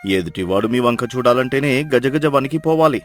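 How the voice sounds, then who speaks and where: Fawning village flatterer, acted by a man, music behind. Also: medium pitch, moderate pace, lightly acted. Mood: fawning, boastful. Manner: obsequious, exaggerated praise. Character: fawning village quack healer and henchman, flattering his lord. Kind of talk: dramatised moral story dialogue.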